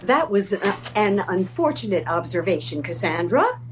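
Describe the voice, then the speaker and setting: A woman's voice: mid-range voice, sing-song, normal speed, slight background noise, natural voice. A woman playing a determined, eccentric old lady in a comic readers theater piece, speaking in a formal, matter-of-fact, bossy way. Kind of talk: comedic readers theater acting.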